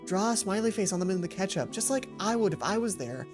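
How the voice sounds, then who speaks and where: A woman's voice: mid-range voice, expressive, quick pace, music in the background, natural voice. A woman voicing an earnest, emotional, sweet schoolgirl heroine in a visual novel, in a heartfelt way. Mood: earnest, loving.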